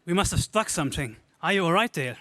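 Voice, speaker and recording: Guy playing earnest space hero, bright voice bit high, decisive, old radio serial acting. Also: lively intonation, fast talker, no room sound. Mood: brisk, steady. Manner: earnest, stagey. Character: brave, resolute young space hero, wholesome and decisive.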